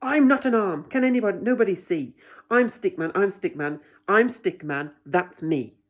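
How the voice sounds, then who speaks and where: A woman's voice: medium pitch, expressive, normal speed, lightly acted. Lady doing a little living stick man from a picture book, indignant, pleading, really punching the rhymes. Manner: emphatic, chanting protests in rhyme.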